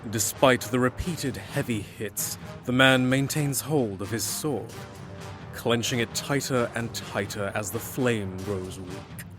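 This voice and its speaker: A man's voice: mid-range voice, slow pace, music in the background. A man giving dramatic, scripted fantasy narration as the storyteller.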